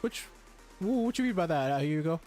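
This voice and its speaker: A man's voice: a little high, lively intonation, a little fast, darkish tone. A man doing casual, profane gaming commentary, joking and reacting in slang. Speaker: gamer reacting to a story quest. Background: faint game music.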